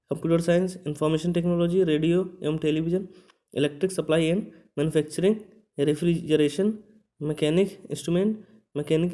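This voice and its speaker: A man's voice: a little high, warm tone. A man hosting an exam coaching lesson, informally explaining as he reads through a notice, with frequent short check-in words. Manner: conversational, checks in with repeated short tag words. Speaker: exam coaching instructor and channel host.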